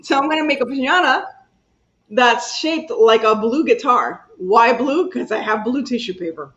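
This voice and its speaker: A woman's voice: on the high side, lively intonation, normal speed, fairly bright tone. A woman hosting a casual craft chat, talkative and rambling, laughing easily as she works. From Cuba. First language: Spanish. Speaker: craft channel host. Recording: echoey room, dull lo-fi sound.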